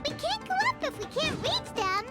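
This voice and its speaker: A woman's voice: extremely high pitch, big pitch swings, normal speed. A woman voicing a tiny, chirpy, fairy-like girl companion who chatters and calls herself by name. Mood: excited. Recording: game audio with loud music. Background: music.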